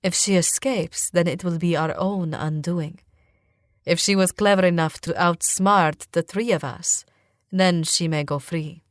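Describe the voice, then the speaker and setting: A woman playing a cool, menacing male raider thief in an audiobook, unhurried and deliberate. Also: fairly low pitch, fairly even intonation, no echo, studio quality, lightly acted. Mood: measured.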